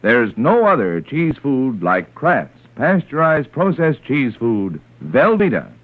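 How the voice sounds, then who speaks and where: A man's voice: mid-range voice, sing-song, slow. A man announcing in 1940s radio style, with an emphatic, salesmanlike delivery. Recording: tinny old radio recording, music behind.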